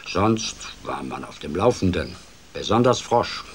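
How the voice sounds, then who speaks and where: Playful, low-voiced man fairy-tale storyteller. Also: measured pace, a little hiss or hum. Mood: playful, musing. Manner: expressive, playful, theatrical delivery. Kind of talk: scripted children's storytelling in radio drama.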